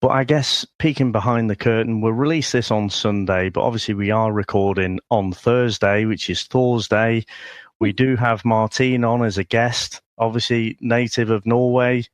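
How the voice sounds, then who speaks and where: A man's voice: on the low side, steady tone, normal speed, dry recording. A man hosting a podcast in casual chat, rambling with lots of fillers. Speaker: podcast host.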